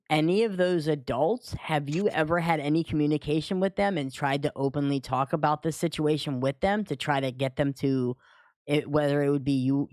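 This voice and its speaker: A man hosting a casual discussion, asking questions with wordy, deliberate phrasing. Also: fairly high pitch, dry recording. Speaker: host steering discussion.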